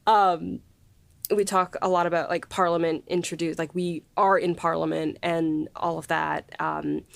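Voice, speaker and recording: A woman with a slightly low voice hosts a casual podcast discussion. She is chatty and effusive, circling hesitantly toward her point. The sound is dry and close. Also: vocal fry, no echo. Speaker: podcast host and series writer. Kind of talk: casual panel chat.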